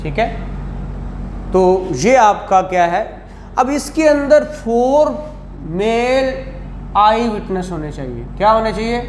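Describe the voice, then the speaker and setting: High-pitched Pakistani man lecturing, echoey room. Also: expressive. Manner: didactic, repeats key phrases slowly. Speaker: law lecturer. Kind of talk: classroom lecture with rhetorical questions. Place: indoor classroom. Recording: echoey classroom with faint noise.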